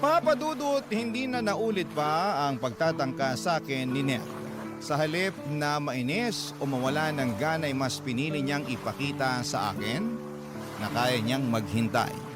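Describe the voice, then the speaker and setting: A man's voice: mid-range voice, normal speed, loud music behind the voice, close to an everyday voice. A man with a Filipino accent playing a tender-hearted letter sender, narrating a love story in a sentimental, confiding radio-drama style. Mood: tender, reflective. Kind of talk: scripted first-person story narration.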